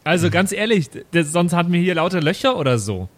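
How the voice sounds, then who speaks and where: A man with a slightly high-pitched voice improvises in a role-playing game. He talks casually and hesitantly, often repeating words as he goes. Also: big pitch swings, quick pace, fairly bright tone. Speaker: tabletop role-player. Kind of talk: improvised in-character role-play and casual banter.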